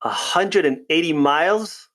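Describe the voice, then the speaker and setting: A man's voice: fairly high pitch, a little slow, a bit bright. A man hosting a casual podcast interview, chatty and upbeat. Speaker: podcast host.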